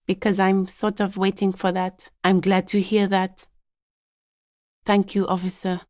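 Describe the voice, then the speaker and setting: An actress playing a guarded, matter-of-fact gardener in a video game, with an understated, polite but curt delivery. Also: female voice, medium pitch, completely flat delivery, measured pace, darkish tone, dry recording, studio quality, natural voice. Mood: mildly hopeful. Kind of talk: game dialogue voice lines, understated and conversational.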